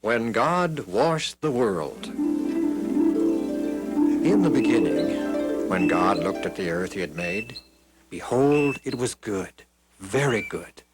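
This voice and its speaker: A man narrating children's Bible stories in a theatrical, scripted style with deliberate pauses and stretched words. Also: on the low side, very animated. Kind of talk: scripted dramatic storytelling. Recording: music behind the voice.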